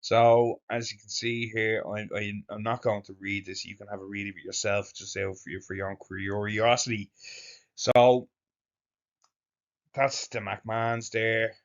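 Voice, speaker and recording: A man hosting his own channel, reading slides aloud haltingly and adding wry asides. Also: lowish voice, level tone, smooth, lo-fi sound. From Ireland. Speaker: channel host presenting slides.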